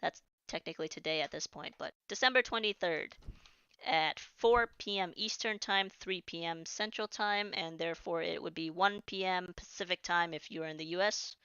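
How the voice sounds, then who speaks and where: A woman's voice: fairly low pitch, bright, forceful tone, smooth, no echo. A woman chatting casually during a live game stream, rambling and restarting sentences, her voice starting to tire. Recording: dull lo-fi stream audio.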